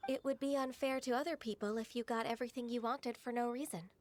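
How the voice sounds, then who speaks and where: A woman voicing a gentle, earnest half-immortal maiden in an anime video game, speaking in a soft, polite, formal and hesitant way. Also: on the high side, fairly even intonation, fast, slight background noise, lightly acted. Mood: gently admonishing.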